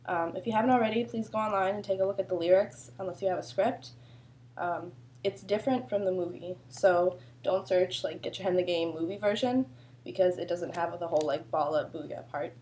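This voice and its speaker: A woman's voice: fairly low pitch, brisk, a little mellow. A woman choreographer with a sore throat, explaining a dance routine in a chatty, loose way full of fillers. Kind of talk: casual dance instruction video.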